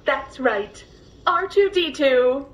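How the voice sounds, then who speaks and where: High-voiced, earnest female droid. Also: expressive, slow pace. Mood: dramatic storytelling. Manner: polite, formal, attentive to a passenger. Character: earnest, grateful female logistics droid, eager bedtime storyteller. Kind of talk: scripted character dialogue and storytelling.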